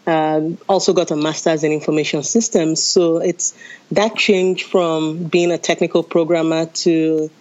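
A woman's voice: low voice, flat delivery, a little slow, a little mellow, highs cut off. A woman from Nigeria, a travel writer, answering interview questions in a friendly, conversational way. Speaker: travel writer and photographer guest.

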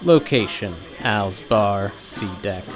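An actor playing a cold, curt male corporate field agent who talks in a flat, clipped, deadpan way. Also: on the low side, slow pace, over music, poor audio. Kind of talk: audio drama acting.

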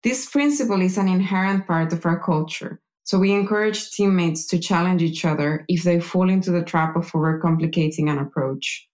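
A woman reading scripted narration in a measured, explanatory way, as an engineering manager presenting. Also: lowish voice, darkish tone. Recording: music behind the voice. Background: music.

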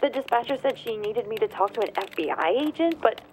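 A woman's voice: a little high, expressive, fast talker, a little hiss or hum, close to an everyday voice, rough recording. An actress playing a frightened ordinary woman in an audio drama, narrating and speaking in a tense, hesitant way. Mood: nervous. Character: anxious ordinary woman, a frightened witness narrating her ordeal. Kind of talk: first-person audio drama narration and dialogue.

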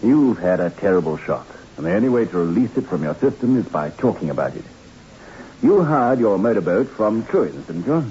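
An actor playing a calm, firm doctor, soothing yet brisk, in an old radio drama. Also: male voice, fairly low pitch. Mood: calm, probing. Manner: soothing but brisk, commanding. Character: calm, firm, reassuring male island doctor. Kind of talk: 1960s radio drama acting. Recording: muffled, noisy old radio recording.

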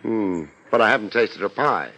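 An actor playing a laid-back, wry private detective in a dry 1950s radio style, on a noisy vintage recording. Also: male voice, lowish voice, animated, normal speed, creaky, bright, forceful tone, exaggerated voice. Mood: wry, curious.

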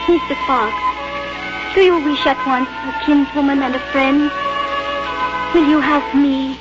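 An actress playing a gentle, devoted young woman with formal, tender delivery in a 1950s radio drama. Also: high voice, fairly even intonation, unhurried. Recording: dull vintage radio broadcast, loud music.

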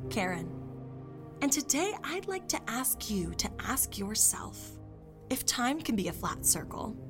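An earnest, portentous woman hosting a conspiracy video in an audio drama. She speaks very slowly and deliberately, posing rhetorical questions, with prominent music behind her. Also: mid-range voice. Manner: deliberate, pseudo-philosophical, rhetorical. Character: Karen, earnest, portentous flat-earth video host.

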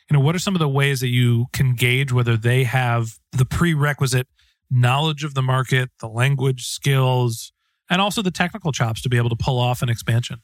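A male interviewer speaks conversationally and puts his questions in a measured, deliberate way. His pitch is medium, and the recording is dry, with very little room sound. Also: no room sound. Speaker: podcast interviewer.

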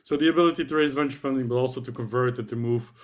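A man's voice: mid-range voice, rapid-fire. A man hosting an interview, asking questions in a conversational way. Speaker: host, interviewer.

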